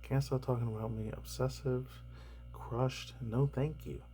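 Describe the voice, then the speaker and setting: A man rambling through casual news commentary, swearing often and laughing between remarks, his voice slightly raspy and tired. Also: mid-range voice, fairly even intonation, a little slow, warm tone, slight background noise. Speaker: commentary YouTuber.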